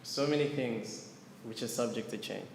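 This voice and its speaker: A man gives a scripture class, explaining patiently and turning to his listeners with questions. His voice sits at an ordinary middle pitch. It rings through a large, echoey hall with a long reverb tail and faint room ambience behind it. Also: normal speed, gravelly, a little hiss or hum. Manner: earnest teaching, asks audience questions. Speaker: lecturer on scripture. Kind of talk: devotional class with chanting.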